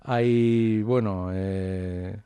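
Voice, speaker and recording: A man's voice: lowish voice, fairly even intonation, drawn-out words, warm tone, smooth, no echo. A man, an author from the Basque Country, talking through his ideas in an interview, hesitant and reflective with many fillers and restarts. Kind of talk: interview conversation.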